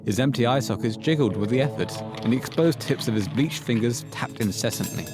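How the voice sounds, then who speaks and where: A man narrating a scripted horror story for an audio play in a measured, literary storytelling manner. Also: mid-range voice, music in the background, natural voice.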